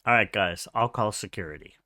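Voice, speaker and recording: An actor playing a blunt, sarcastic, unimpressed shop worker in a comedy radio play. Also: male voice, fairly low pitch, fairly quick, sharp tone, no room sound, studio quality.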